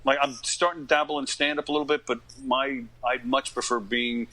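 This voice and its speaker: A man of about fifty from Long Island, New York, telling stories casually as an interview guest, in a halting, informal way that often doubles back. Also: mid-range voice, steady tone, bright, forceful tone, rough recording. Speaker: interview guest, stage actor. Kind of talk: casual conversational storytelling.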